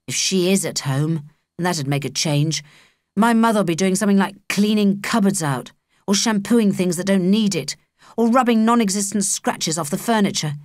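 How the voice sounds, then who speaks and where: A woman's voice: medium pitch, moderate pace, a little mellow, no room sound, clean studio sound, natural voice. A British woman voicing a cocky, sardonic young boy with a blunt, offhand manner in a children's audiobook. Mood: grumbling.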